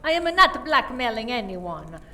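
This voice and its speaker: An indignant, defensive female suspect, acted by a woman in an amateur radio mystery. Her voice is sharp and fairly high, swinging widely as she protests emphatically. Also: very animated, moderate pace, far from the mic, a little hiss or hum, full character voice. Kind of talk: amateur radio play acting.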